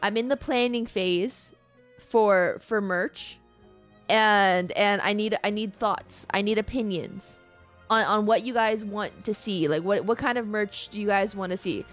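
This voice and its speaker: A woman's voice: medium pitch, a little slow. A woman livestreamer speaks in a mid-range voice. She chats casually with her audience, informal and playful, with the odd mild curse. Music plays in the background. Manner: informal, playful, mildly profane. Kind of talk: casual chat with live audience.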